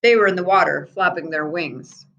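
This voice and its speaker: A woman reading a children's book aloud in an expressive storyteller's manner, with small asides to her listeners. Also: on the low side, moderate pace, highs cut off. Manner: expressive, acts out the children's dialogue.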